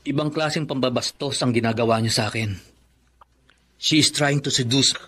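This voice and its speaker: A man's voice: medium pitch, fairly even intonation, moderate pace, pushed and bright, husky. An actor playing a principled, indignant young Filipino man and protective son in a radio drama, firm and earnest.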